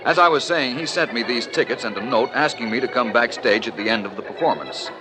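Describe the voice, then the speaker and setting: A man's voice: medium pitch, quick pace, music in the background, close to an everyday voice. An actor playing an urbane, genial male private detective in a polished, witty 1940s radio style. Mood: urbane, amused.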